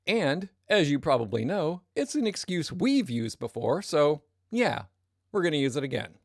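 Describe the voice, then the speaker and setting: A man's voice: a little high, gravelly, no echo, studio quality. A man acting a pompous, wordy, self-satisfied narrator of a sci-fi comedy audio drama. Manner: pompous, verbose.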